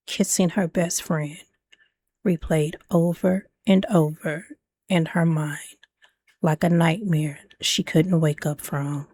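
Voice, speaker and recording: A woman narrating a scripted dramatic story in a measured way, with deliberate pauses. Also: lowish voice, warm tone, no echo.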